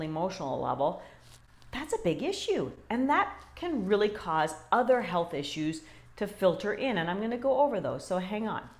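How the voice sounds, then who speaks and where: A woman with a loud voice, talking casually and directly to her viewers as a wellbeing coach, full of questions for them. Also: mid-range voice. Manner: chatty, animated, talks straight to viewers with questions. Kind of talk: casual direct-to-camera talk.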